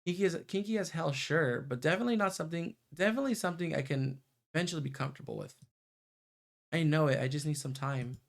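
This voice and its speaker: Creaky, higher-voiced male game streamer. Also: quick pace. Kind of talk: reading visual novel narration aloud, casual asides.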